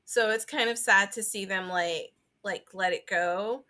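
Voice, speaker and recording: A woman co-hosting a podcast in casual chat, halting and leaning often on filler words. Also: medium pitch, pushed and bright. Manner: halting, filler-heavy with frequent "like". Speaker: podcast co-host.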